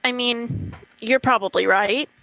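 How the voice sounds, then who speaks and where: Woman acting young friend, inquisitive, hesitant, highish voice, dry sound, amateur radio drama. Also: unhurried, creaky, sharp tone, no room sound, highs cut off, faint music behind, exaggerated voice. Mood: curious.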